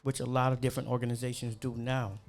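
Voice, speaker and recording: A young man from New York, a cook, chatting casually and animatedly with slang and quick asides. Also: mid-range voice, little pitch movement, faint music behind. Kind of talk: casual chat.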